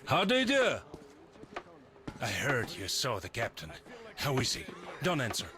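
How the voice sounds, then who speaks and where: A man's voice: medium pitch, wildly swooping pitch, unhurried. An actor playing a courteous, loyal crewman who speaks earnestly in a video game. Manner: earnest, polite. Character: courteous, loyal male follower of an exiled captain. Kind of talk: video game cutscene acting.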